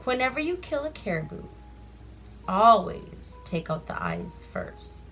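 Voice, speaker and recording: A woman's voice: mid-range voice, huge pitch swings, unhurried, fairly bright tone, faint music behind, full character voice, poor audio. A woman voicing a timid, pleading male raven bargaining in a folk tale. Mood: solemn promise.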